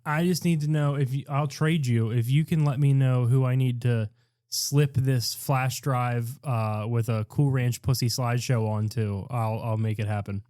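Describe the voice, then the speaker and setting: A male host trades casual, bawdy jokes on a live comedy show. His tone is relaxed and dark rather than sharp, with a mock-earnest delivery, and the sound is very dry. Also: mid-range voice, moderate pace, dry recording. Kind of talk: casual, bawdy comic banter.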